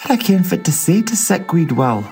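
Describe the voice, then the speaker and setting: A man voicing a stout old gentleman, startled and humble, speaking breathlessly in a Doric Scots accent. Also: high-pitched, big pitch swings, normal speed, faint music behind, voice pushed up. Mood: grateful. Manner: breathless, astonished.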